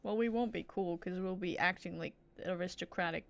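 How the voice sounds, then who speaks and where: A woman's voice: fairly low pitch, brisk, creaky, a little hiss or hum. A woman streaming a game, giving casual, informal live commentary with swearing and short reactions.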